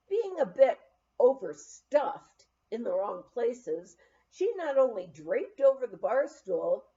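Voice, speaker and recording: A woman's voice: a little high, warm tone. A woman reading a memoir aloud as an audiobook narrator, on a slightly dull recording. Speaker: audiobook reader.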